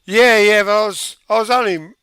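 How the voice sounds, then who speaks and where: A man's voice: high voice, normal speed, sharp tone, clean tone, dry recording. A man with a Yorkshire accent, hosting a livestream and chatting casually on a group call, laid-back and hesitant, laughing often and swearing. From Yorkshire, England. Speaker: livestream host.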